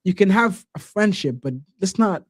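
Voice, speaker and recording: A man from Tanzania hosting a casual interview, speaking in an animated, slangy and opinionated way. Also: high-pitched, moderate pace, no echo, studio quality.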